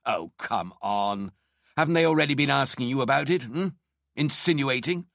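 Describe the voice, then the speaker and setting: A man's voice: medium pitch, expressive, moderate pace, vocal fry, a bit bright, no room sound, clean studio sound, voice pushed up. A man voicing an embittered, defensive husband in an audiobook, sneering and confrontational. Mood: sneering, bitter. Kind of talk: audiobook character acting.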